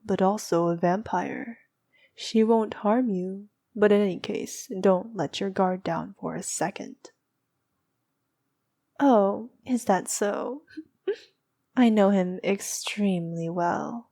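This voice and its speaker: Girl doing a regal vampire princess for asmr roleplay, real slow n mellow, soft and teasing, haughty but needy. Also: mid-range voice. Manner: soft, regal, teasing, sometimes dropping to a whisper.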